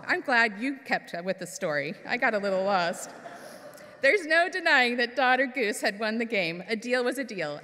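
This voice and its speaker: Female storyteller for all ages, highish voice, slow delivery with dramatic pauses, long hall reverb. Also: sharp tone. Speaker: minister reading a children's story. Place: church service in a large hall.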